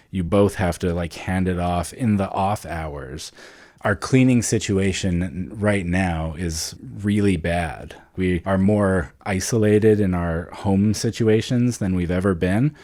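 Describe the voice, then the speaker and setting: A man's voice: low voice, mellow. A man co-hosting a podcast in casual discussion, informal and thinking aloud as he goes. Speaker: podcast co-host.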